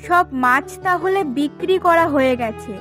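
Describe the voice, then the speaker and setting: A woman's voice: very high-pitched, normal speed, fairly bright tone, soft background music. A woman playing a hardworking, plain-spoken village fish seller and impatient wife in a cartoon, chatty and colloquial. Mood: tired. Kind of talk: Bengali fairy tale cartoon dubbing.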